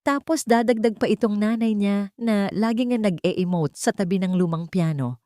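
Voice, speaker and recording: A woman's voice: mid-range voice, moderate pace, warm tone, no room sound, clean studio sound, close to an everyday voice. A woman voicing a spiteful, snobbish rich Filipino daughter-in-law with haughty, contemptuous talk in an audio story. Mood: haughty, irritated.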